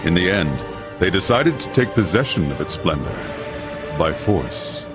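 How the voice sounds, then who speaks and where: A solemn father narrating a medieval crusade game. His low voice moves expressively through grave, measured storytelling, with music playing behind him. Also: sing-song. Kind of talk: dramatic scripted narration.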